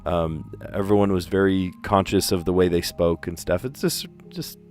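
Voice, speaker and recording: A lowish-voiced man with a general American accent talks his way through word puzzles on a livestream, musing aloud in a relaxed, chatty way. His diction is deliberate and careful, with the odd filler as he thinks. Faint music plays behind him. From US East Coast. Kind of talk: casual thinking aloud while solving puzzles.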